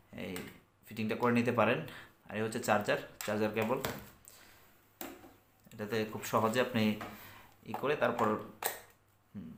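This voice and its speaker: A man with a Bangladeshi accent gives an unscripted product review and sales pitch, halting and repeating himself as he searches for words. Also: a little hiss or hum. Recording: echoey room.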